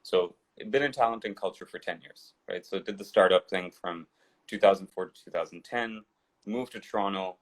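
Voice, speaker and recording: Deep-voiced man, rambling storyteller. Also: a little fast. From Dubai and India. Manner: digressive, rambling with frequent restarts. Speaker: guest. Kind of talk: casual storytelling interview.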